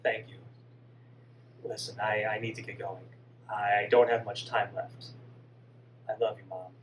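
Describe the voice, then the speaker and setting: A man doing a soft, remorseful young son. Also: level tone, unhurried, lightly acted. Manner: soft, pleading, lower and quieter. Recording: distant mic with faint hum.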